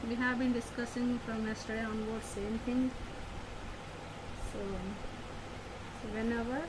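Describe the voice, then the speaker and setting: Woman teacher over fan noise. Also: fairly high pitch. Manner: repetitive, checks on listeners often. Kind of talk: online class lecture. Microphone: laptop mic. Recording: noisy home recording, fan hum behind.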